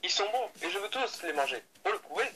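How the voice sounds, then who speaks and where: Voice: medium pitch, big pitch swings, fast, bright, forceful tone, slight background noise. An amateur dub performer playing a cheerful, stubborn small skeleton who talks eagerly and insistently. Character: cheerful, stubborn small skeleton brother praising bad baking. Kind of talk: amateur French comic dub.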